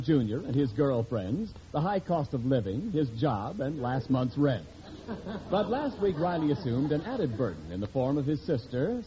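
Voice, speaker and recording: A man's voice: medium pitch, mellow, a little hiss or hum. A man announcing a sponsored 1940s radio show in scripted period style. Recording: muffled old radio broadcast recording.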